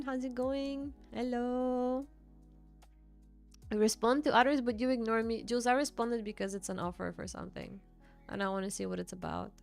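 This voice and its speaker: Young woman streamer, chatty and upbeat, slightly high pitch with a gravelly edge. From Bulgaria. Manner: chatty, reads and answers chat, quick laughs. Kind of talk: casual livestream chat with viewers.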